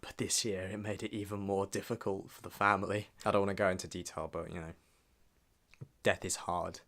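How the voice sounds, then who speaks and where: A man's voice: sits low, level tone, a little fast, gravelly, fairly bright tone. A young man narrating a scripted recap of his year in a reflective, informal way. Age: young adult. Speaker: vlogger narrating a year in review. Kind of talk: scripted voice-over recap with casual asides.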